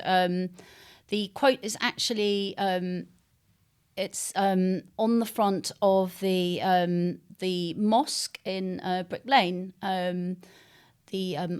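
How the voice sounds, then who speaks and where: A woman's voice: mid-range voice, smooth. A woman from East London with an English accent, answering interview questions as an author in a discursive, hesitant, conversational way. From East London, England.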